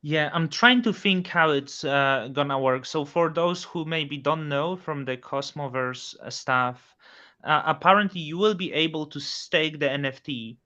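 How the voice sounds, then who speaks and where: A man hosting a casual chat, asking probing questions in a slightly halting way. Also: fairly high pitch, pushed and bright. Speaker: host. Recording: muffled, dull sound.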